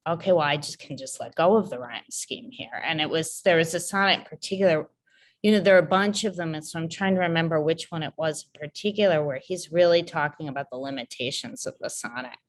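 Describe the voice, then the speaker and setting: A woman around forty, a poet on a panel, answering thoughtfully and searching for her words. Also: sits low. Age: about 40. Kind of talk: reflective panel conversation.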